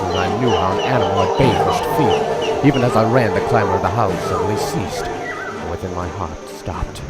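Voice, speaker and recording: A man's voice: deep voice, natural voice. A man acting as a hunted fugitive, narrating his desperate flight in an intense, suspenseful radio drama style. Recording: loud music and insects behind voice.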